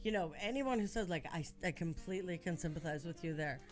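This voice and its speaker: An American woman with a somewhat low voice speaks earnestly in relaxed conversation. She leans on key phrases and repeats them for emphasis. A little music sits quietly in the background. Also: quick pace. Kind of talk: casual conversational discussion.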